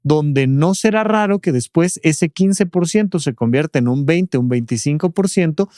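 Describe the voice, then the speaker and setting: Man, expert explainer, rhetorical questions, slightly high voice, dry close sound. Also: lively intonation, normal speed, dark tone, no room sound. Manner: explains patiently, tags points with rhetorical questions. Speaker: doctor and expert guest. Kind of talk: explanatory podcast talk. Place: podcast studio.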